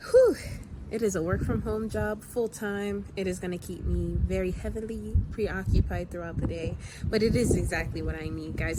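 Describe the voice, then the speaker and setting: A woman's voice: mid-range voice, noisy background. Chatty young woman vlogging from her car. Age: 20s. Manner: upbeat, chatty, addresses viewers as guys. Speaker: vlogger, new mother. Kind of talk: casual vlog talk to camera. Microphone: phone camera. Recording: loud background music over voice.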